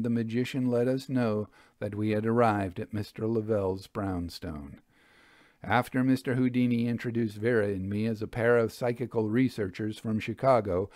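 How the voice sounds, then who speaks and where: A man narrates a period mystery audiobook in a scripted storytelling style. His voice has a middle pitch, and the recording is dry and close, with very little room sound. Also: darkish tone, dry recording.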